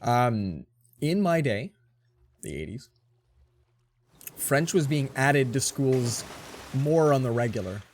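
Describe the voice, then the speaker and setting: A man's voice: mid-range voice, expressive, slow pace, long pauses. A man in his forties giving casual gameplay commentary, rambling and chatty as he tells stories between moves. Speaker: let's play host.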